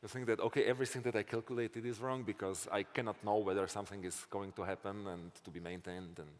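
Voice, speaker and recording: A man with a Slovak accent, answering audience questions after a conference talk in a thoughtful, halting way, often restarting phrases. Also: on the low side, fairly even intonation. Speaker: conference speaker. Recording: reverberant conference hall.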